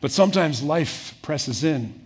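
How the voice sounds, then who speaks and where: Husky older man preaching earnestly. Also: fairly high pitch, expressive, measured pace. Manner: earnest, builds to emphatic peaks then drops soft. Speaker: pastor. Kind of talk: sermon.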